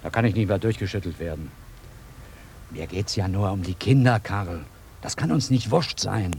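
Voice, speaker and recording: An actor playing a blind old village woodcutter, brooding and argumentative, in a radio drama. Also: male voice, lowish voice, animated, moderate pace, a little mellow, a little hiss or hum. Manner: rustic, ruminative, argumentative.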